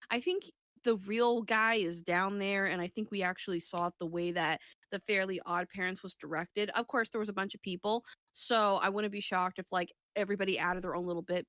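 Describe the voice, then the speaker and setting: A woman's voice: medium pitch, bright, forceful tone, no echo. A woman co-hosting a podcast, chatting casually in slangy, sweary banter. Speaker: podcast co-host and aspiring voice actor.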